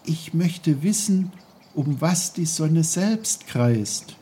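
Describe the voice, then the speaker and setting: A curious little boy from a children's storybook, acted out by a male reader. The voice is lifted high and a little husky. He is eager and tender-hearted, full of wide-eyed questions and exclamations. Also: expressive, very slow, dark tone, exaggerated voice. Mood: wondering. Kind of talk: German children's storybook character voice.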